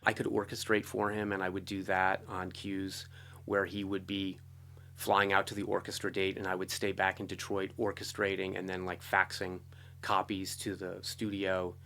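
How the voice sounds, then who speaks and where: A middle-aged American man with a fairly low voice talks as a guest in a relaxed interview. He tells stories enthusiastically and loosely, circling back and peppering his phrases with "like" and "you know". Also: normal speed, a little hiss or hum. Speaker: interview guest, film and television composer. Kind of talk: casual conversational storytelling.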